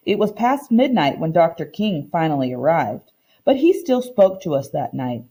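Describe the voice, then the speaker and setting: A woman voicing a kindly, proud old Southern woman in a children's picture book read-aloud, with an unhurried, folksy way of talking. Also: low-pitched, very animated, dark tone. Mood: reminiscing. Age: older.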